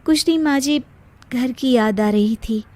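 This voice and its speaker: A woman as a chiding, high-voiced elder sister. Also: a little slow, darkish tone. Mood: subdued. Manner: earnest, chiding. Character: responsible married elder sister, cautious, secretly playful.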